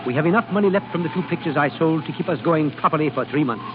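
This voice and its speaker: An actor playing an exacting, unsentimental guardian with a clipped, lecturing manner in an old radio drama. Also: male voice, a little high, very fast, music in the background, lightly acted. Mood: brisk, hopeful. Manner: clipped, stern, dryly lecturing. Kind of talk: 1930s-40s radio drama acting. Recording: tinny phone-like, hissy old radio broadcast.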